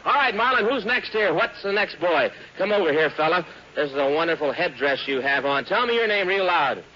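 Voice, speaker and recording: Bright, hearty American radio showman. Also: fairly high pitch, animated, brisk. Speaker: radio show host and announcer. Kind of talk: 1940s live radio children's show announcing. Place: live radio broadcast before an audience. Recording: dull, noisy 1940s radio recording.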